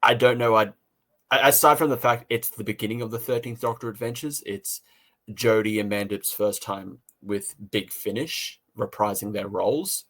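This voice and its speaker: Low-voiced man in casual review chat. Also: moderate pace, a bit bright. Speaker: podcast co-host reviewing audio dramas.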